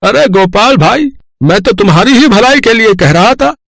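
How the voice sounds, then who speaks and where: A man's voice: high-pitched, expressive, moderate pace, gravelly, a bit bright, dry recording, pitched above the performer's own voice, exaggerated voice. A man voicing a nosy, meddling middle-aged village neighbour in a cartoon, chattering in exclamations. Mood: meddling, fake concern.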